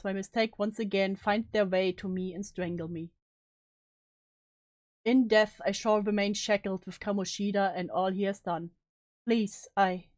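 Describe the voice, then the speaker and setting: A woman's voice: medium pitch, darkish tone, dry recording, voice pushed down, close to an everyday voice. A woman playing a guilt-ridden, despairing young student in a solemn, melodramatic story reading. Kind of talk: dramatic reading of story narration.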